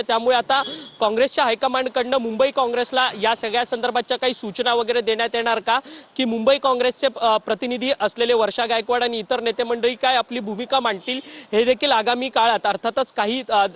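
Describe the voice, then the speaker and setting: A man gives an unscripted live news report as a correspondent, wordy and halting, often repeating phrases. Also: very high-pitched, a bit bright.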